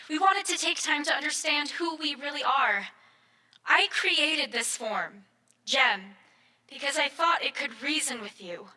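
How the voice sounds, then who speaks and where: Woman acting sentient AI villainess, very sharp bright voice, slow theatrical declaiming, wounded menace, sci-fi radio play. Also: high voice, exaggerated voice, rough recording. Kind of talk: live sci-fi comedy audio drama acting.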